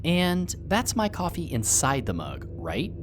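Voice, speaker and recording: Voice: mid-range voice, huge pitch swings, a little slow, music in the background. An actor playing a playful, teasing male mercenary commander with a flippant, slangy manner.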